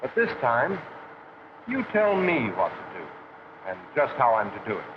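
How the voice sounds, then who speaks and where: An actor with an American accent playing a practical factory instructor who explains things methodically in a 1940s training film. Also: male voice, medium pitch, huge pitch swings, moderate pace. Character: plain-spoken, practical male factory instructor. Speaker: shop floor instructor. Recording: dull, hissy 1940s film soundtrack.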